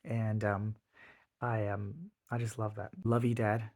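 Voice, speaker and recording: A man in his thirties giving his father a heartfelt birthday tribute, earnest and halting with many pauses and restarts. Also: on the low side, little pitch movement, a little mellow. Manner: earnest, reflective. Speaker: son.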